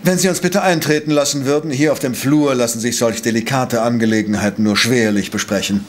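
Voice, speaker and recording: A man's voice: medium pitch, brisk, slight background noise, lightly acted. A man playing a courteous, formal, authoritative professor detective in a German audio drama. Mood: polite, firm. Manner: formal, precise, calm.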